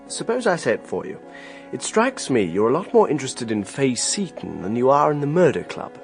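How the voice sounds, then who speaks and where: A brisk, persistent British gentleman in a radio mystery. His mid-pitched voice swings expressively as he probes, with music clearly playing behind him. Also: very animated. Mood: dry, curious. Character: brisk, inquisitive, gentlemanly younger man, teasingly persistent. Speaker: actor in a radio detective play. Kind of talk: British radio drama acting, dialogue.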